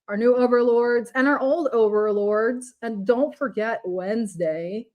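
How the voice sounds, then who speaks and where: A woman's voice: a little high, measured pace, highs cut off. A woman co-hosting a casual political talk show, bubbly and enthusiastic, often laughing. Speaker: co-host.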